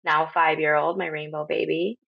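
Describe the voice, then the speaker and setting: A woman in her thirties from Honduras, a podcast guest talking casually with many pauses and ums. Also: deep voice, flat delivery, vocal fry, no room sound. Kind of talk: casual interview talk. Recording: slightly dull lo-fi audio.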